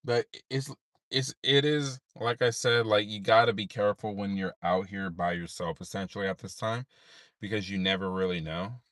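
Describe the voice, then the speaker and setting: Deep-voiced tired man rambling late at night. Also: normal speed, a bit bright, dry recording, clean studio sound. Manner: rambling, laid-back, many fillers. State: tired, unable to sleep. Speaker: streamer. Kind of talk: late-night livestream chat. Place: in his parked car at night.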